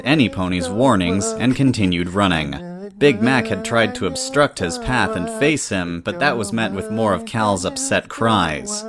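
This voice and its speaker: A man narrating a scripted story as a storybook reader. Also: low voice.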